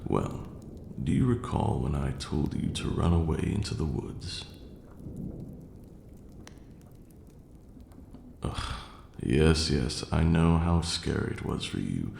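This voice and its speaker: Ancient male vampire lord, acted by a man for ASMR roleplay: very low, heavy fry, formal, condescending yet tender mentor. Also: sing-song, a little mellow, exaggerated voice. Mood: exasperated, instructive. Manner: measured, formal archaic diction, condescending yet doting. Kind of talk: slow intimate ASMR roleplay acting. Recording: roomy echo, faint background noise.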